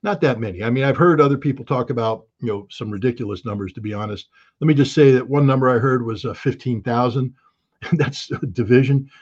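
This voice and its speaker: A man's voice: mid-range voice, fairly quick, dull audio. A man giving blunt, emphatic and scornful political commentary as an interview guest.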